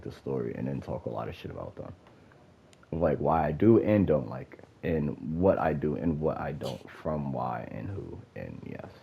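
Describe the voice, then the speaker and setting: Nasal low-voiced man ranting. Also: gravelly, husky, lo-fi sound. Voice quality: nasal, congested. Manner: profane, rambling, with many fillers. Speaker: gamer giving opinions. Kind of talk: casual rant.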